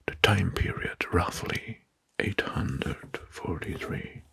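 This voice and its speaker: A man narrating history as an ASMR host in a breathy whisper, slowly and deliberately, repeating words, close to the mic. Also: deep voice, bright, forceful tone. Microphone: close microphone.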